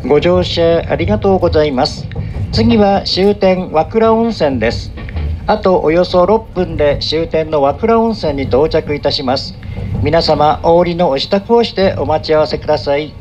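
A fairly high-pitched man makes train announcements as a conductor. He is polite and formal, going phrase by phrase. The sound is a muffled onboard PA, with music and vehicle noise. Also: moderate pace, a little mellow.

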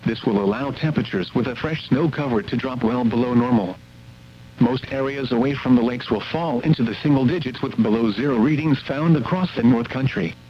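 A man reading a scripted weather forecast as a radio announcer, in a formal, measured delivery. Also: mid-range voice. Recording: muffled weather radio broadcast, hum and static.

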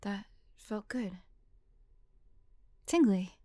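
Woman playing devoted young fantasy heroine, audio roleplay, unhurried, halting, breathy half-whispers, close dry sound. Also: medium pitch, expressive, mellow, dry recording. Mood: shy, tender. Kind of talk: intimate acted audio roleplay.

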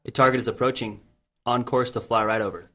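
Guy doing a cocky soldier in a radio play, blunt slangy talk, average pitch voice. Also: steady tone, fairly quick, bright, forceful tone. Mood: alert, brash. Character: brash, slangy male soldier on a covert team. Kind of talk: radio drama acting.

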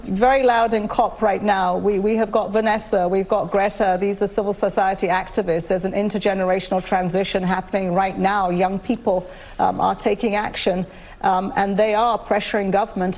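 A middle-aged woman from Nigeria answering questions in a live TV interview, earnest and measured, with frequent fillers and restarts. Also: medium pitch, darkish tone. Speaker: guest, UN official. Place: studio, indoors.

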